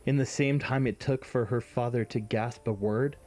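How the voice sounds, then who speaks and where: A man's voice: mid-range voice, steady tone, moderate pace, a little mellow, faint music behind. A man narrating a scripted fantasy story in an audio drama.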